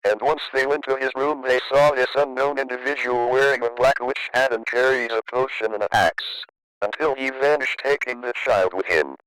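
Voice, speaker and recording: Male announcer for emergency alert narration: formal, measured, crisp tone, dry recording. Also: mid-range voice, fairly even intonation, fairly bright tone, no room sound, poor audio. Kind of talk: scripted emergency broadcast narration.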